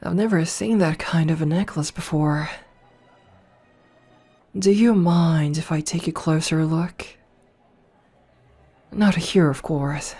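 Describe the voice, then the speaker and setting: A haughty noble vampire princess, voiced by a woman, purrs her teasing, condescending lines in a low, mellow voice. She takes her time, hushed and breathy. Faint music plays behind her. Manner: teasing, condescending, softly purring. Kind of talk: ASMR audio roleplay.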